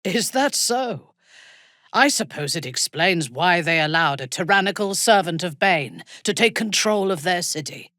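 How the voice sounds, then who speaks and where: A woman voicing a cold, proud, menacing female drow commander in a video game, with haughty, formal, deliberate delivery and a low, husky voice. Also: expressive, bright, forceful tone, no room sound, studio quality. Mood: cold intrigue. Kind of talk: dramatic video game acting.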